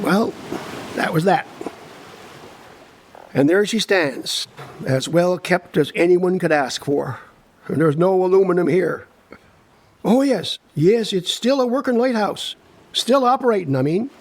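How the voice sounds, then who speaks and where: An older man with a Newfoundland accent playing a friendly, proud village local who reminisces in a chatty, folksy storytelling monologue with asides. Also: on the high side.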